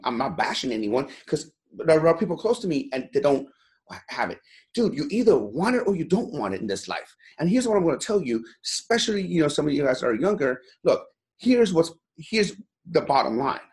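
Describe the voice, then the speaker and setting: A man's voice: medium pitch, very animated, moderate pace. Blunt, fired-up man in his forties. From Iran. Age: 40s. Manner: blunt, profane, fired-up, repeats "you know" a lot. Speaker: guest, gym owner. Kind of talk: motivational interview talk.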